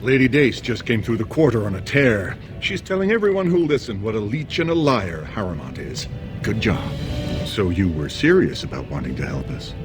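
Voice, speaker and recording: Lively, low-voiced brusque dwarf henchman. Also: moderate pace. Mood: sly approval. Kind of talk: fantasy video game acting. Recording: music clearly behind dialogue.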